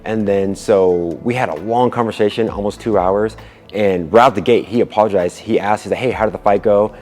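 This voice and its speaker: A man's voice: on the low side, a little fast, a little mellow. A man telling a personal story in a casual, earnest, conversational way, as a video creator would. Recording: long hall reverb, music behind. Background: music.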